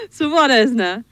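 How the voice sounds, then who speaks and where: A woman's voice: a little high, a bit bright, no room sound. A woman chatting in an informal interview in broken, rambling sentences. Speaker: villager being interviewed. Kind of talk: spontaneous interview chat.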